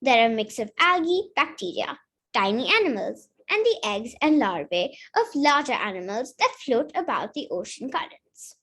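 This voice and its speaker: High, lively female storybook reader. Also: normal speed, slightly rough. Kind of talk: read-aloud of a children's picture book.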